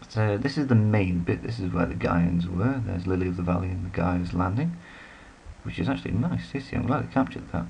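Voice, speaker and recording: A man's voice: deep voice, animated, fairly quick, creaky, darkish tone, a little hiss or hum. A man giving rambling, casual commentary while playing a strategy game, full of self-deprecating asides. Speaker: let's play commentator.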